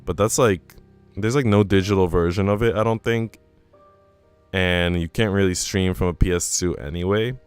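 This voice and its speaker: Man, low clear voice, game streamer, casual read-aloud and chat, music behind. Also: steady tone, normal speed. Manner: casual, slangy asides.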